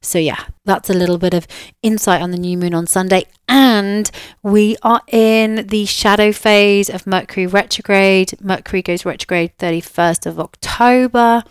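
A woman hosting her own podcast in a relaxed, chatty way, and slightly bunged up from a cold. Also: medium pitch, moderate pace, smooth, no room sound, studio quality. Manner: chatty, easygoing, with fillers like "um" and "yeah". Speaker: podcast host, spiritual mentor and psychic medium. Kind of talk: casual solo podcast chat.

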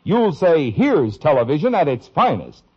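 An American man delivering an emphatic 1950s radio advertising pitch on a dull old recording. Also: sing-song, normal speed, breathy edge. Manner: emphatic, salesmanlike. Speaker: commercial announcer.

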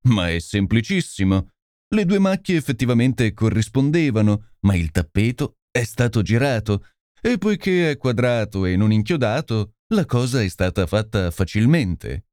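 A man's voice: lowish voice, big pitch swings, normal speed, a little mellow, dry recording, studio quality. A man voicing a brilliant, intense male detective in an audiobook, speaking in a commanding, urgent way.

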